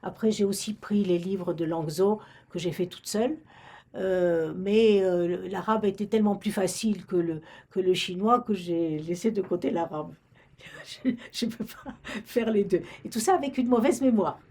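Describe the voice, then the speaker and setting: A woman's voice: medium pitch, moderate pace, warm tone. An older woman from Romania, a retired librarian, recalling her past in an oral history interview, hesitant and full of restarts. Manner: hesitant, many restarts and fillers.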